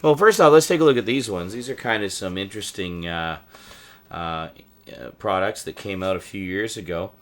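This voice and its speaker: Low-voiced man, casual halting vlog talk. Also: a bit bright. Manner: halting, thinking aloud, conversational. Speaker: host of a collector's show. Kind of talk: casual rambling vlog commentary on a collection.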